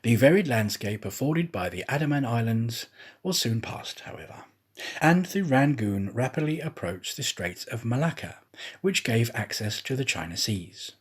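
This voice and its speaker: Guy narrating an old novel, really animated intonation, bit of husk in his voice, theatrical storytime reading. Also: mid-range voice, moderate pace, slightly rough. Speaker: storyteller, audiobook reader. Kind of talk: scripted narration of a classic novel.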